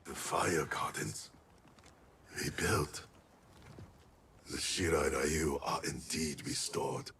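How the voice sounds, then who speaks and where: A grim, honorable video game ninja. His voice is extremely low and forceful, delivering solemn, terse lines at a slow, deliberate pace. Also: fairly even intonation, a little hiss or hum, exaggerated voice. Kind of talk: dramatic video game acting.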